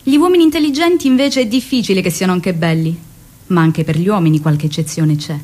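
A woman's voice: fairly low pitch, fairly quick, warm tone, natural voice. An actress playing a devoted young revolutionary woman who recalls her commander in evocative, theatrical stage storytelling. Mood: playful, coy. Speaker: actress narrating. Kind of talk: dramatic stage monologue and dialogue.

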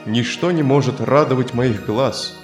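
An actor playing a proud, disdainful Roman soldier who craves war, declaiming in a dramatized children's audio story. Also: male voice, medium pitch, measured pace, lightly acted. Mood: martial, fervent. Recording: reverberant studio with loud music.

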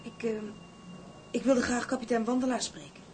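A woman's voice: on the high side, steady tone, moderate pace, background noise, close to an everyday voice. An actress playing a modest, reserved young woman in a drama, hesitant and plain-spoken.

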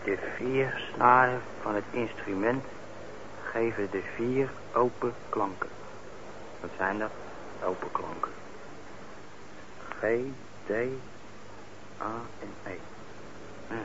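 A man's voice: lowish voice, slow pace, long pauses. An actor playing a broke, sardonic young man in a radio play, grumbling and muttering in a naturalistic way. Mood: muttering, frustrated. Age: young adult. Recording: noisy, muffled old radio drama recording.

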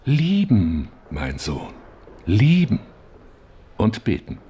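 Expressive, dignified cardinal. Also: male voice, on the low side, slightly rough, full character voice. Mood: earnest admonishing. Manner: admonishing, measured, dignified. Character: stern but kindly cardinal, earnest moral authority. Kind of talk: German radio drama acting.

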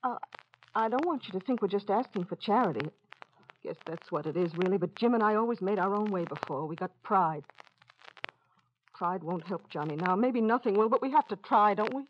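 A woman's voice: medium pitch, animated, a little fast, natural voice. A woman playing a worn, anxious, loving mother, hesitant and plain-spoken, in an old radio drama. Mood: pleading. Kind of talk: 1940s-50s radio drama acting. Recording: muffled, noisy old radio recording.

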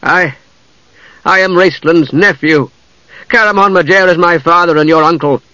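A man voicing an earnest, shy, lovestruck young male wizard in a fantasy audiobook, with a soft-spoken, hesitant manner. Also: mid-range voice, fast talker, dry recording, dull audio. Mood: dismayed.

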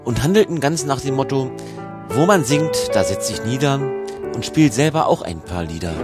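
Male narrator over loud music. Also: mid-range voice, lively intonation, moderate pace. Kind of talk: scripted storytelling narration.

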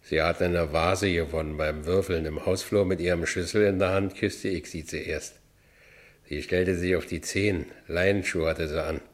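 An actor playing a rough, brawny, volatile ex-convict labourer in a radio play, speaking coarse Berlin vernacular. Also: male voice, sits low, steady tone, normal speed, close to an everyday voice. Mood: wistful narrating. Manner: coarse Berlin vernacular, swinging from brooding to exultant. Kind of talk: German radio play acting, monologue and dialogue.

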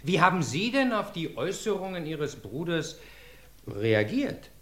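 An insistent courtroom lawyer. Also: male voice, a little high, big pitch swings, unhurried, fairly bright tone, roomy sound, exaggerated voice. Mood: probing. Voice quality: probing, insistent, formal. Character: sharp, persistent male courtroom lawyer. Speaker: lawyer cross-examining. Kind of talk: radio play acting.

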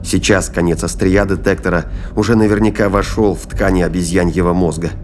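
A man's voice: deep voice, steady tone, brisk. A man narrating a scripted audio drama as its storyteller.

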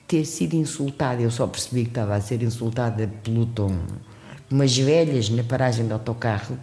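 Deep-voiced older woman telling stories. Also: reverberant. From Mozambique. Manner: lively storytelling, laughs often, shifts pitch when quoting. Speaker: guest singer. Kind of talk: reminiscing interview talk.

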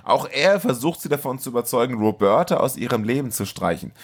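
A man delivers scripted recap narration as a podcast host. His voice is creaky and husky, with lively intonation and a dry, mocking edge. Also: mid-range voice, fairly quick. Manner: wry, mocking.

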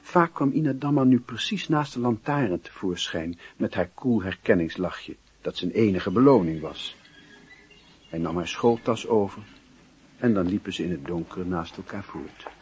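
Very husky, low-voiced male narrator. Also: lively intonation, normal speed. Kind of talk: scripted literary radio drama narration.

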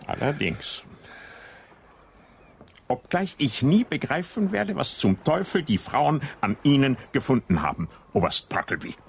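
A wry, gravelly inspector. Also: male voice, medium pitch, sing-song, measured pace, a little hiss or hum. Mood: sardonic. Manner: wry understatement, mock-casual. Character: dry, ironic British police inspector. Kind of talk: radio drama acting.

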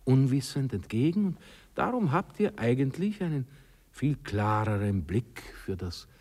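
An actor playing a pompous, middle-aged bourgeois Austrian husband who lectures condescendingly and haltingly in a radio play. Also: male voice, medium pitch, sing-song, darkish tone, breathy edge. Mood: pompous, lecturing. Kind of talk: 1960s German-language radio play acting. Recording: reverberant 1960s radio drama studio recording.

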